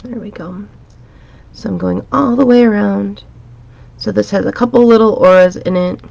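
A woman teaching a drawing pattern step by step in a calm, chatty, reassuring way, her voice a little raspy. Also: mid-range voice, normal speed, lo-fi sound. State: slightly raspy from a dusty room. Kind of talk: relaxed step-by-step drawing tutorial. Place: home art studio, indoors.

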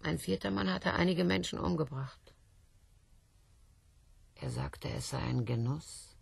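A woman's voice: low-pitched, lively intonation, unhurried, no echo, heavily acted. An actress in a radio play voicing a self-loathing, taunting woman who pleads insistently, drifting from whispers to outcries. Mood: weary, wry. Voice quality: husky, breathy.

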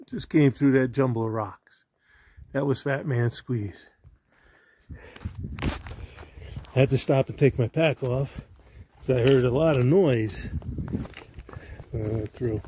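A man's voice: medium pitch, dark tone, a little hiss or hum. Upbeat man filming on a trail. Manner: upbeat, chatty, talking to viewers while hiking. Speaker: hiker filming his trail vlog. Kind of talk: casual walk-and-talk narration. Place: outdoors on a rocky mountain trail. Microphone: handheld camera while walking.

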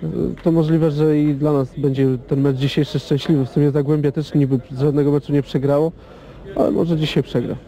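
Male footballer, monotone, very dark tone, offhand. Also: on the high side, a little fast, low-quality recording. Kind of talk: interview.

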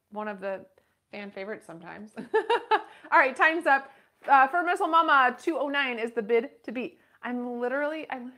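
A woman's voice: a little high, a bit bright. Bubbly woman calling out bids. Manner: bubbly, chatty, calls out bids quickly. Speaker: live auction host. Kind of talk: live-stream sales auction. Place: western boutique shop, indoors.

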